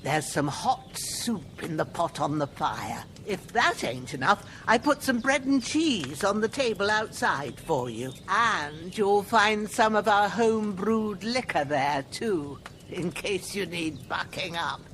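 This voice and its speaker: A gruff old village healer. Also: female voice, fairly low pitch, lively intonation, takes it slowly, fairly bright tone, a little hiss or hum. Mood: bossy. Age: older. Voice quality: folksy, scolding, plain rustic speech. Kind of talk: video game dialogue.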